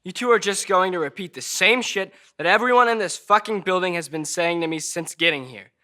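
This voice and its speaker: Man playing a bright-voiced, mouthy defiant teenage boy. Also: high voice, a little fast. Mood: angry, defiant. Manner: mouthy, sarcastic slang, swearing. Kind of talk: table read of a crime drama.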